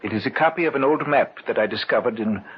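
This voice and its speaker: A solemn, mysterious treasure seeker from abroad , played by a man in an old-time radio drama. He tells legends in formal, measured phrases at a middle pitch. The recording is muffled, with a faint hiss behind him. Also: lightly acted. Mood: earnest, assured.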